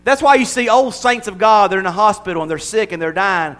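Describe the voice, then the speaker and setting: A man's voice: high voice, lively intonation, brisk, a bit bright. An older man preaching a conversational sermon as pastor, mixing humor with emphatic, building repetition. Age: 60s.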